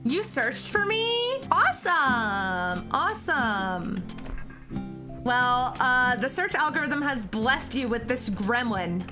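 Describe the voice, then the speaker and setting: A woman's voice: high voice, big pitch swings, takes it slowly, over music. A young American woman streaming, chatting casually with her viewers and giggling often. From United States. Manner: giggly, chatty, answers chat questions.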